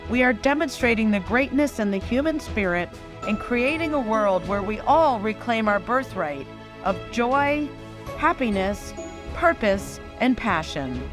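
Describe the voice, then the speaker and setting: A woman announcer reading a scripted show introduction in a lively promotional manner. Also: a little high, measured pace. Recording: voice-over with clear music bed.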